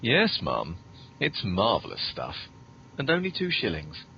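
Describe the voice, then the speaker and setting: Man acting as dutiful butler, low swooping voice, unflappable, formal, deadpan, comedy podcast. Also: unhurried, creaky, exaggerated voice. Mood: dry, deferential. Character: deadpan, dutiful, quietly shady male butler. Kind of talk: scripted comedy podcast skit. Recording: muffled, noisy low-quality podcast audio.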